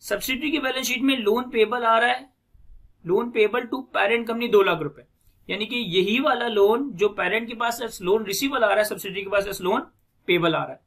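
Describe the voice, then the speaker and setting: Bright, high-pitched Pakistani male lecturer. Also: moderate pace. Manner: methodical, repeats key phrases for emphasis. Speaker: accounting lecturer. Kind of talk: explanatory lecture mixing in English finance terms. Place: indoors, recorded screen lecture at a desk.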